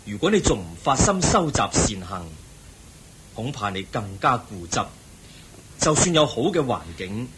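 An actor with a Hong Kong Cantonese accent voicing a calm, patient Buddha who gently teaches. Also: male voice, medium pitch, unhurried, background noise. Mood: admonishing. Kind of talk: Cantonese radio drama acting.

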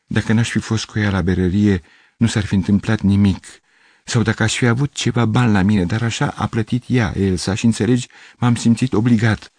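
A man voicing a flustered, tired middle-aged musician who pleads and stammers anxiously, his voice rising high in agitation. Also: animated, vocal fry, warm tone, husky, no room sound, heavily acted.

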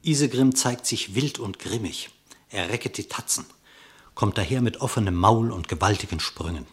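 An actor playing a storyteller, narrating a radio-play animal fable in verse with theatrical, vivid declamation and a husky voice. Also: male voice, on the low side, normal speed, background noise, close to an everyday voice. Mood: dramatic, vivid.

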